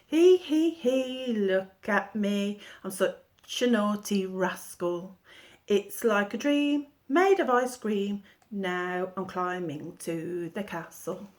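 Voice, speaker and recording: A woman's voice: mid-range voice, expressive, takes it slowly, a little mellow. A woman reading a storybook aloud, voicing a silly, brave young boy who giggles and sings. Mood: sing-song. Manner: giggly sing-song.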